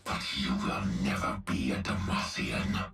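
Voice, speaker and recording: A man playing a menacing, brutal half-machine warlord in a video game, speaking in a grim, deliberate, threatening way with a gravelly voice. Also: very deep voice, lively intonation, a bit bright, soft background music, heavily acted. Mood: grim threat. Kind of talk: dramatic video game villain acting.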